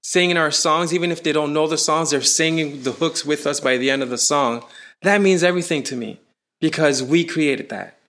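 A man from Canada, a touring musician, chatting in an interview and telling stories with rising excitement. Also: on the high side, moderate pace. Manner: animated storyteller, voice rising when excited. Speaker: musician guest. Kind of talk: casual interview.

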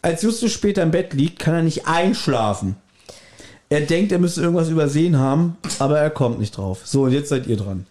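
A man's voice: on the high side, lively intonation, fairly quick, darkish tone. A man hosting a podcast, giving a casual recap partly read from his notes, with playful irony. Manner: playfully ironic. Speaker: podcast host.

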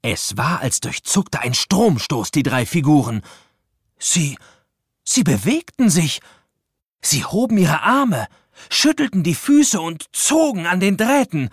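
A man's voice: a little high, sing-song, slow pace, breathy edge, dry recording, clean studio sound, full character voice. A man narrating a children's audio drama as a scripted story, building suspense with dramatic storytelling.

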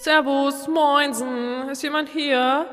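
A woman acting as a flippant female traveller in a spooky audio play. She speaks casually in a fairly high voice that rings with long reverb. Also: fairly even intonation, slow pace, loud music behind the voice. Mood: breezy. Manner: colloquial, flippant. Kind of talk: audio drama dialogue.

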